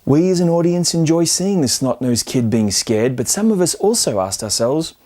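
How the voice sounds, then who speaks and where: Man narrating film analysis, softened mellow tone. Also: a little high, expressive, normal speed. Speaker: film commentary host. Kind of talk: scripted video-essay narration.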